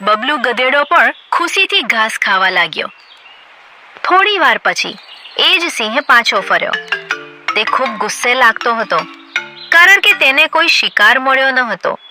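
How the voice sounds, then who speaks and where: Female storyteller for kids' animation, high register, scripted narration over a clear music bed. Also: very animated, unhurried.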